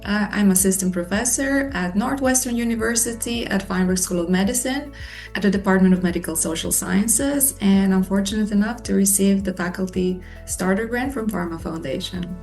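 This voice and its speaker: A woman's voice: mid-range voice, normal speed, a little mellow, soft background music. Croatian-accented woman explaining her research. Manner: earnest, explanatory, lists points. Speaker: researcher, assistant professor. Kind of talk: scripted Q&A monologue.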